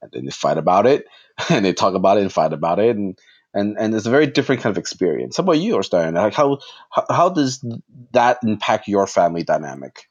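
Deep-voiced man, reflective and rambling. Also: sing-song, fairly quick, slightly rough, muffled recording. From Philippines. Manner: reflective, rambling, restarts sentences. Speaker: therapist. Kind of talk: casual conversation.

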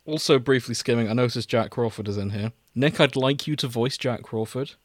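A man's voice: medium pitch, brisk, dry recording, studio quality. A man hosting a podcast, bantering casually with friends. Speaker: podcast host.

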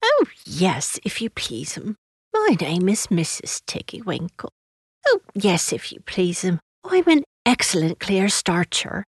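A woman's voice: mid-range voice, wildly swooping pitch, a little slow, husky, no room sound, clean studio sound, full character voice. A woman voicing a stout, anxious hedgehog washerwoman from a storybook, polite, fussy and deferential.

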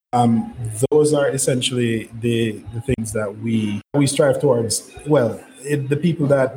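Podcast guest, man, New York accent, Jamaican roots, loose and musing, low hiss behind. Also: rough recording. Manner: relaxed, thinking aloud with many ums. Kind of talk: casual political chat.

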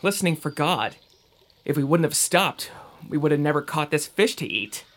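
An actor playing an earnest, excitable young male traveler in an audio drama, expressive and sometimes hesitant. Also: fairly high pitch, moderate pace. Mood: curious, amazed. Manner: expressive, wide-eyed. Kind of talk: scripted audio drama acting.

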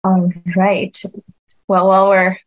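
A woman whose first language is Croatian, moderating a webinar in a relaxed way with some hesitations. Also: medium pitch, slow, low-quality recording. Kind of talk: casual webinar chat.

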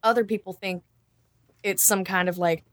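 A woman co-hosting a podcast in casual, unscripted chat, thinking aloud in a halting, colloquial way. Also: mid-range voice, steady tone, no room sound. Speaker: podcast co-host.

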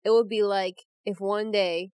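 A woman's voice: mid-range voice, level tone, normal speed, darkish tone, dry recording. A young woman with a mid-range voice chats casually, sprinkling "like" through her thoughts and laughing easily. The close recording is dry with no room sound. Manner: chatty, lots of "like", laughs along. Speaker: podcast co-host. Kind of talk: casual chat.

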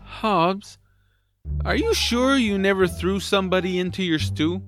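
A man playing a friendly, boyish young hero, a sensible best friend, earnest and animated, in a family audio drama. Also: sits high, a little slow, soft background music, full character voice. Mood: friendly, curious.

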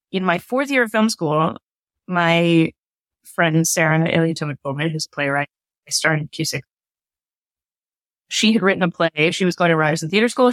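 Woman, lowish pitch, conversational storytelling, interview guest, dry sound. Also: fairly bright tone, no echo. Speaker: interview guest, theater director.

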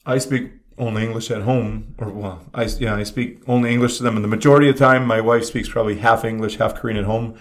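A man's voice: lowish voice, quick pace, few pauses. Canadian guy telling stories in a casual chat, gets worked up and emphatic. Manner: animated storyteller, emphatic.

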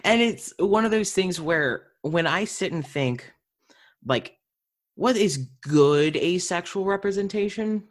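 A woman's voice: lowish voice, expressive, slow pace. A woman speaking casually and earnestly in an interview, explaining her thoughts. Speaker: interview participant, actor.

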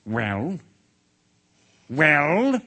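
Storybook king, played by a male narrator: imperious, indignant, commanding, wildly swinging pitch. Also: expressive, normal speed, pushed and bright, slightly rough, exaggerated voice. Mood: impatient, demanding. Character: imperious, furious old-fashioned king, quick to rage.

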